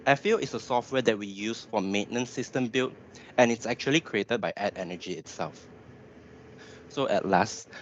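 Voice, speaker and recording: A man's voice: fairly low pitch, lo-fi sound, slight background noise. A man in his twenties with a Malaysian accent, giving a webinar presentation in an informal explanatory way. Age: 20s. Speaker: graduate engineer presenter.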